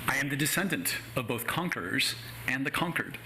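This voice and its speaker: A middle-aged American man from Los Angeles, a native Spanish speaker, giving a prepared personal talk as a guest speaker in an articulate, measured way with wry asides. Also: medium pitch, animated, background noise. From Los Angeles County, United States.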